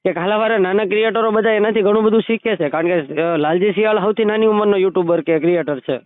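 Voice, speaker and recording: A man's voice: sits high, fast, clean tone, no echo. A man chatting casually on a recorded phone call, often checking that the listener agrees. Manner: repeatedly seeks agreement with tag questions. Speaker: caller.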